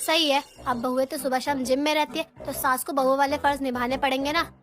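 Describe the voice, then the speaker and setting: Woman as a high-voiced, needling nosy neighbour. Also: steady tone, fairly quick, fairly bright tone, soft background music. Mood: taunting. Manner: taunting, gossipy. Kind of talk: Hindi moral-story cartoon dubbing.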